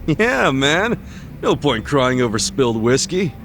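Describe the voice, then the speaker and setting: A man's voice: mid-range voice, sing-song, a little slow, noisy background. An actor playing an easygoing, brash, optimistic man in a video game dub, speaking in slangy, informal dialogue. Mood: breezy, reassuring. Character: easygoing, brash, optimistic street-smart man, a loyal buddy.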